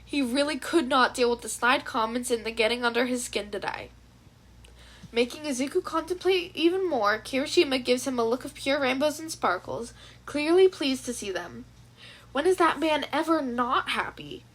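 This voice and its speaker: High-voiced woman narrating a story. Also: animated, normal speed, fairly bright tone. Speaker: fan fiction narrator. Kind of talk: scripted narration, audiobook reading.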